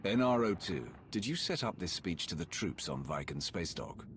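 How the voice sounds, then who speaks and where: An actor playing a resolute male Sith military commander in a video game, measured and authoritative. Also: deep voice, very animated, a bit bright, slight background noise. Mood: businesslike. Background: game music.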